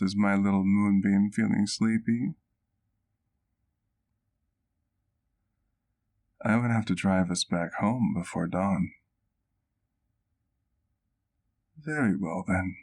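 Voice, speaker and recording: Male actor as vampire doctor, deep pitch, vocal fry, gentle, doting, wistful, formal measured storytelling, ASMR roleplay.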